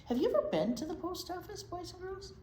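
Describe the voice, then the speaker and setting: A woman's voice: extremely high pitch, animated, a little fast, warm tone. A woman presenting a children's storytime, reading a picture book expressively and chatting with simple questions for young listeners. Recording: echoey room, faint background noise.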